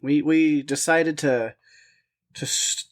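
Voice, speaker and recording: A man's voice: on the high side, fairly even intonation, takes it slowly. A man co-hosting a film podcast, talking casually with frequent restarts and little laughs. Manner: rambling, restarts, self-deprecating laughs. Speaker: podcast co-host. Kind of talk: casual chat.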